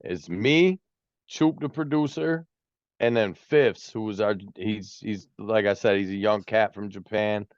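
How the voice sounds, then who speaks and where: A man's voice: fairly low pitch, unhurried, no echo, dull audio. A man around forty, a music producer as guest, talking in a laid-back, casual way and ending lines with "man" and "you know what I mean". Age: 40s. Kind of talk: casual interview chat.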